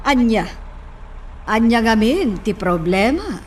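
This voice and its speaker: A woman's voice: a little high, very animated, slow pace, a little mellow, far from the mic, slight background noise. An actress with a Filipino accent playing a concerned, questioning middle-aged mother in a radio drama.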